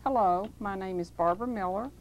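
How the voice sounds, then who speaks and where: A woman's voice: medium pitch, brisk, highs cut off, a little hiss or hum. A woman, introducing herself in a plain way. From Western North Carolina. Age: 60s. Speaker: interviewee.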